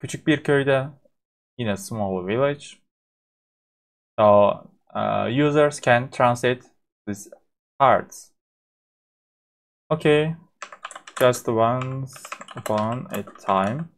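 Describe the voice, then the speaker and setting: Turkish-accented man explaining a software demo. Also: medium pitch. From Turkey. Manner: halting, frequent fillers and restarts. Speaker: course instructor.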